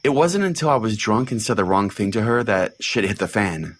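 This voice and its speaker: A man playing a deadpan, dejected small-town pastor who hosts a comedic fiction podcast in a rambling, exasperated conversational way. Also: on the low side, brisk. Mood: storytelling. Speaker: podcast host.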